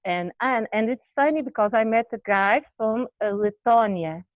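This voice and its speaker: Halting woman guest on a tinny call. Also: a little high, dry recording. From Brazil. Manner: halting, searching for words, frequent restarts. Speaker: interview guest. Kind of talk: candid personal conversation. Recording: tinny phone-like video call audio.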